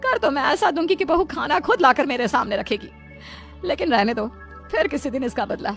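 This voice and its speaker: A woman's voice: sits high, lively intonation, creaky, fairly bright tone, faint music behind, exaggerated voice. A woman voicing a crafty older mother-in-law in a comic cartoon story, teasing and mock-innocent as she coaxes. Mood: scheming, smug. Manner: sly, mock-innocent, drawn-out coaxing. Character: crafty, teasing older mother-in-law, self-proclaimed fox-sly schemer. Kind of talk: comic Hindi moral-story cartoon acting.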